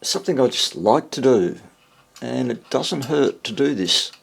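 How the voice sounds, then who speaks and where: A man's voice: mid-range voice, animated, unhurried, creaky, slightly rough. An older man giving casual, unscripted commentary at his workbench, rambling and thinking aloud. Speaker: hobbyist radio repairer and presenter. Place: small indoor home workshop.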